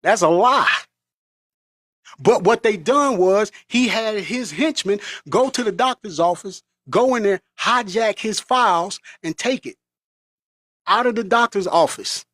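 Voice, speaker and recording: Male vlogger from the US, impassioned sermon-like rant, higher-pitched voice, dry close recording. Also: expressive, measured pace, a bit bright, dry recording. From United States. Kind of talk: monologue.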